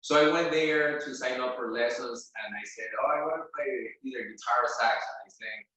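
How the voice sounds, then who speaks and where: A man's voice: medium pitch, brisk, pushed and bright, heavy reverb, distant mic, poor audio. A man from Venezuela, a guest flute player, answering interview questions at home with many fillers and restarts. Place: his home.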